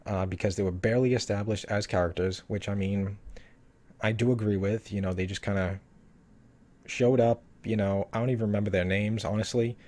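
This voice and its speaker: A man's voice: fairly low pitch, mellow. A man talking casually through a show review, rambling with lots of fillers and hedges, and saying he is losing his voice. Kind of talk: casual solo review talk.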